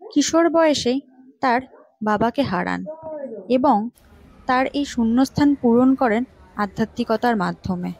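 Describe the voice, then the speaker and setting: A woman, narrating local history as a scripted story in measured phrases. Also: fairly high pitch, darkish tone, no echo, low-quality recording. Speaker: vlog host and narrator.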